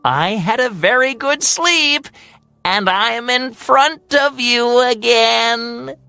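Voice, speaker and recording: A storyteller voicing a small, boastful, playful male hummingbird in a children's fairy tale, with an excitable, teasing, sing-song delivery. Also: mid-range voice, slow pace, creaky, smooth, no echo, pitched above the performer's own voice, exaggerated voice.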